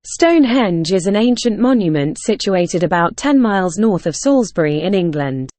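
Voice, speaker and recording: A woman's voice: mid-range voice, expressive, normal speed, a little mellow, dry recording. A woman reading a scripted passage aloud as a narrator for practice exercises.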